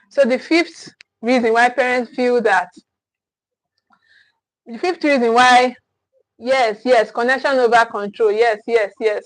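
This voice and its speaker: Host: Nigerian woman teaching parents, emphatic and repetitive, higher voice, close and dry sound. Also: no echo, low-quality recording. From Nigeria, Edo State. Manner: earnest and emphatic, repeats points, asks "okay?". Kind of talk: live-streamed parenting class.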